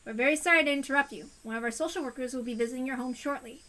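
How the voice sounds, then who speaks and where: Woman reading as a firm polite welfare worker, courteous, insistent, high bright voice. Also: racing.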